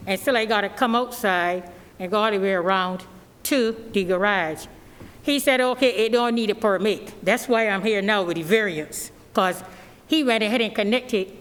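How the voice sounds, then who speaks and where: A woman's voice: medium pitch, normal speed. A woman from Barbados, speaking plainly and at length as a resident at a public hearing. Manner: rambling, plainspoken, nonstandard grammar. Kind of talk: informal testimony at a public meeting. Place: council meeting chamber. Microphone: podium microphone. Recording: reverberant meeting hall.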